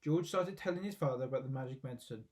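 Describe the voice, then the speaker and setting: A man's voice: medium pitch, fast, a little mellow. A man narrating a children's story expressively, as a reader reading aloud from a book.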